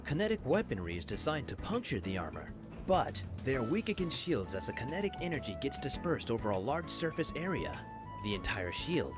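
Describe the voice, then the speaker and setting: A man playing a formal, instructive professor in a video game, lecturing in a measured, articulate way. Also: mid-range voice, sing-song, lightly acted. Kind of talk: scripted game voice acting, classroom lecture. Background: game music.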